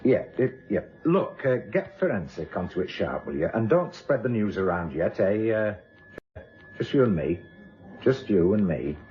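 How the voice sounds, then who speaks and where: An actor playing a brusque, sardonic British chief inspector in a radio crime drama, gruff and given to barking orders. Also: male voice, fairly low pitch, sing-song, moderate pace. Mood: terse, urgent. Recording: muffled, noisy radio drama recording.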